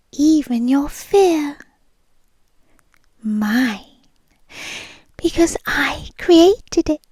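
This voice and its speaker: A possessive, obsessive young girlfriend with a British accent, played by a woman, whispering softly and breathily. Her voice sits high and moves very slowly, each word lingered over with menacing sweetness. The sound is dry and close, with no room around it. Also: no echo. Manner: slow, intimate, menacingly sweet. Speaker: ASMR roleplay performer. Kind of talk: ASMR roleplay. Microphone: close microphone.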